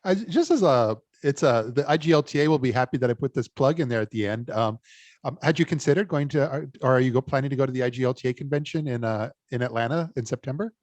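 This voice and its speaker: A man hosting a casual interview, halting often with fillers and restarts as he talks over a video call. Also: mid-range voice, a little mellow, dry recording. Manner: hesitant, many fillers and restarts. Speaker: interview host.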